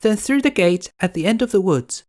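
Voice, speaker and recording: A man puts on a sweet little girl from a storybook, pitched very high. She sounds innocent and polite, with a sing-song swing to her words. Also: expressive, normal speed, a little mellow. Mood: innocent, cheerful. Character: sweet, trusting little girl in a fairy tale.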